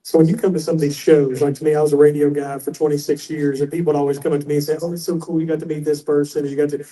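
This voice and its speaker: Male moderator, very dark mellow tone, slightly higher pitch, rambling questions. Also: flat delivery, rapid-fire, low-quality recording. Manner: rambling, conversational. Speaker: panel moderator, former radio host. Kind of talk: interview questions.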